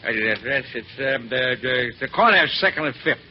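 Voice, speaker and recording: A man's voice: medium pitch, lively intonation, brisk, natural voice. Man acting a rambling, slurring drunk. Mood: drunk, peevish. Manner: rambling, stumbling over words. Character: slurring, muddled drunk man feigned by a detective. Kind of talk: 1940s radio acting. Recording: dull, noisy vintage radio recording.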